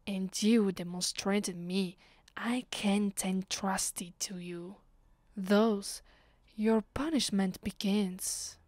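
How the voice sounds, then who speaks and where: Slow hushed italian-accented vampire lady, woman acting it out, cold n haughty, asmr roleplay, very dry close sound. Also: medium pitch, steady tone, no room sound, natural voice. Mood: cold, accusing. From Italy. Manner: slow, hushed, haughty delivery with whispered phrases. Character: haughty, cold female vampire mistress punishing her servant. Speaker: voice actress.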